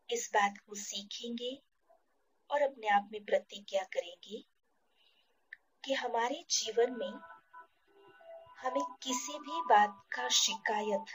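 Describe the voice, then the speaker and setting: Slow-paced woman guiding a meditation over music. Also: on the high side, rough recording. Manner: measured, pausing between short phrases. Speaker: guided meditation teacher. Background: background music.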